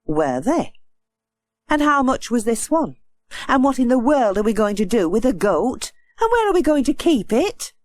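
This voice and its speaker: A woman's voice: fairly high pitch, big pitch swings, moderate pace, a little mellow, no room sound. A woman voicing an exasperated, practical wife and mother in a children's storybook recording. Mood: questioning, doubtful. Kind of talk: audiobook character acting.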